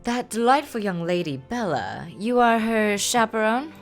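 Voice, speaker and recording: A woman voicing a courteous, smitten gentleman lord from a game, with formal, courtly phrasing. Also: on the high side, very animated, unhurried, soft background music, voice pushed up. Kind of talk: reading game dialogue aloud.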